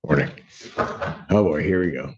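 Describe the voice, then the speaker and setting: A man's voice: a bass voice, measured pace, lo-fi sound, a little hiss or hum. A man presiding as a judge, joking and teasing the people before his bench. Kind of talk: bantering courtroom proceedings.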